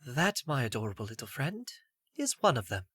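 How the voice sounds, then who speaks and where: A woman voicing a caring, teasing young male companion with an expressive, confident manner in an audiobook. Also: a bass voice, a little fast, slightly rough, no room sound, studio quality, exaggerated voice. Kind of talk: audiobook character voice.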